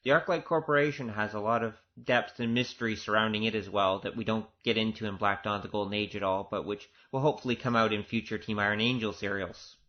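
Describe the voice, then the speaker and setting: A man with a fairly deep voice talks through a director's commentary in an informal, conversational way. He sounds earnest as he speaks as a producer. The recording is a little muffled and lo-fi. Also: steady tone, fast, few pauses. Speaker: audio drama producer giving director's commentary. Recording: dull, lo-fi recording.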